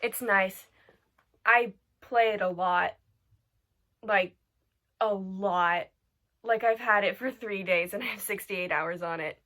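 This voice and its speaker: A woman's voice: mid-range voice, slow pace, pauses often, sharp tone. A woman acting a grieving younger sister, plainspoken and subdued, in a stage monologue. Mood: subdued, plain. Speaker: stage actor. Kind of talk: theatrical letter monologue.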